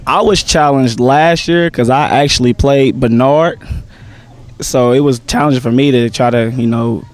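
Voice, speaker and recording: A young man, a stage actor, answering interview questions in a relaxed, slangy way with many pauses and "um"s. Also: medium pitch. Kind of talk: casual interview chat.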